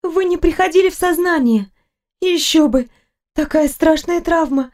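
A woman voicing a fussy, talkative young female nurse with a high, ringing voice. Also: normal speed, a little mellow. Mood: chattering.

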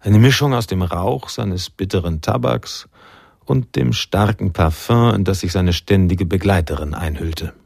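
A sombre noir storyteller narrating a radio drama. His voice is deep and husky, recorded very dry, with a measured, reflective delivery. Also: a little mellow, dry recording, exaggerated voice. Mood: sombre, ominous.

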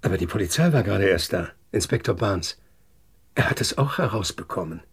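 An actor playing a nervous, apologetic older uncle in a German radio drama, husky and hesitant, stammering defensively. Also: male voice, sits low, animated, a little fast, heavily acted. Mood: startled, defensive.

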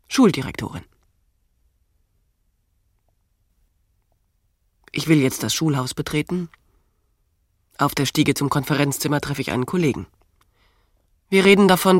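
A female actor playing an exasperated, reproachful bride in a radio play, husky and breathy, insistent and scolding. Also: low-pitched, a little fast, no room sound, studio quality. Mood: resolute. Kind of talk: radio play dialogue, often hushed.

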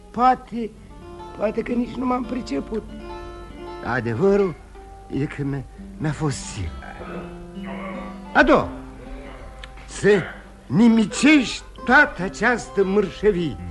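Ruined drinker, animated and halting. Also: male voice, on the high side. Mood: bitter, self-mocking. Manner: rambling confession, halting with emotional repetitions. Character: ruined drinking man, self-loathing, confessing his failed life. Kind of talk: theatrical radio drama acting. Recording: radio play with guitar music behind.

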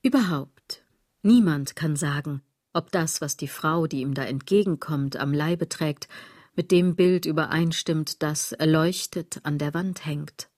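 A low-pitched, sardonic woman delivers a literary radio monologue in a close, dry sound. She is deliberate and declamatory, musing straight at the listener and letting phrase ends sink almost to a whisper. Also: a little mellow, dry recording. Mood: wry, reflective. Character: musing, sardonic female speaker addressing the listener directly.

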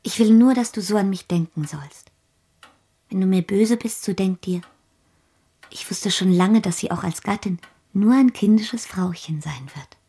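An actress playing a tender, naive young wife in a German radio play, softly pleading and girlish, with breathy, whispery speech. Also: medium pitch, measured pace. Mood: tender, wistful.

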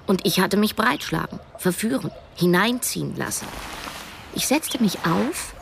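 A woman's voice: mid-range voice, animated, unhurried, gravelly, slight background noise. An actress playing a wry, introspective woman who narrates her own story in a radio drama. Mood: indignant. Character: cash-strapped woman narrator, wry, conflicted amateur sleuth.

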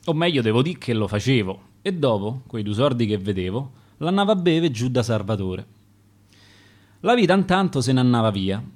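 A worn-out bricklayer speaks from the grave in coarse Roman dialect rhyme, read aloud by a man. The pitch moves a great deal around a middle height. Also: big pitch swings, moderate pace, darkish tone. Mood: weary. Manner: reciting dialect verse in rhyme. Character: dead worn-out bricklayer, weary and sour.

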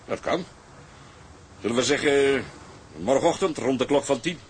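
A man's voice: medium pitch, normal speed, close to an everyday voice. A hearty tugboat captain acted by a man, plain-spoken and direct. His voice sits in a middle register, with faint noise behind the drama dialogue. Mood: courteous. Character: hearty male tugboat skipper selling his beloved ship. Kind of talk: Dutch audio drama dialogue.

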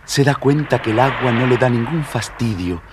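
A man with an Argentine accent narrating a scripted children's story with expressive storyteller phrasing. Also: mid-range voice, normal speed, noisy background.

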